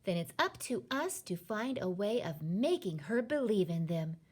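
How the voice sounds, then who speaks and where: A woman's voice: mid-range voice, very animated, moderate pace, a little mellow, husky, pitched above the performer's own voice. A woman voicing a feisty, indignant, determined young girl from a children's storybook, speaking in emphatic, impassioned exclamations. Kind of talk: children's storybook character reading.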